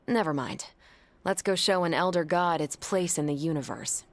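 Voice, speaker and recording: A woman's voice: on the low side, normal speed, close to an everyday voice. A woman playing a confident, teasing, breezy superhero in a video game. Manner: wry, playful banter.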